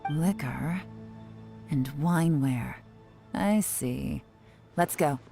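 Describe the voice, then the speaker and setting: A low, even woman's voice as a cool, commanding spymaster in a game. Every order comes out calm and crisp. Soft music plays faintly behind her. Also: drawn-out words, full character voice. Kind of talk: game dialogue.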